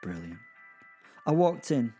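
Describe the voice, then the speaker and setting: A British man narrating a darkly comic audio drama in the first person, with wry, conversational, halting asides. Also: mid-range voice, very animated, mellow, breathy edge, highs cut off, soft background music.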